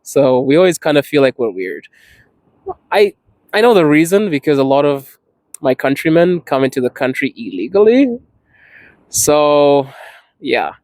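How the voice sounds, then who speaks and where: A man's voice: a little high, sing-song, a little slow, pauses often, darkish tone, no echo. A man from Zimbabwe talking candidly in a casual vlog, laughing often and stretching words for emphasis. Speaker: podcast host. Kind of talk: casual personal vlog talk.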